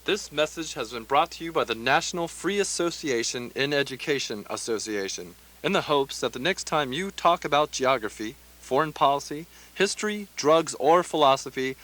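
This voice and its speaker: A man's voice: on the high side, lively intonation, moderate pace, few pauses, fairly bright tone, low-quality recording. A man playing an earnest, formal announcer of a mock educational broadcast in a satirical radio play. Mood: solemn, formal.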